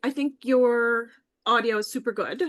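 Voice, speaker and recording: A woman with a fairly high voice chats in an easygoing way over a video call. She laughs easily and keeps a loose, conversational flow as a guest. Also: unhurried, a bit bright. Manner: chatty, easygoing, laughs readily. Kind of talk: casual live video chat.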